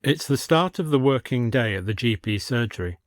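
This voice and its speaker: A man's voice: medium pitch, animated, normal speed, no room sound. Male storyteller with very dry sound. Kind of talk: scripted story narration.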